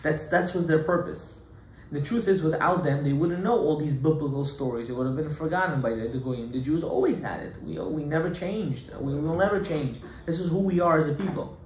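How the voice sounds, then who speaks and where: A man's voice: a little high, fairly quick. Man, rabbi, emphatic Torah lecture, rhetorical questions, Hebrew terms in English, reverberant room. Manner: emphatic, rhetorical questions, acts out objections loudly. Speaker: rabbi giving a Torah class. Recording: echoey room with faint background noise.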